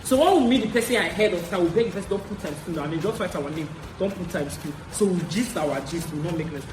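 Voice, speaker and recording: A young adult woman with a Nigerian accent chats to her viewers in a casual storytelling style. She often repeats herself to drive a point home. The sound is roomy and echoey, with clear noise behind her voice. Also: fairly low pitch, fast talker. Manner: animated, chatty, repeats phrases for emphasis. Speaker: vlogger.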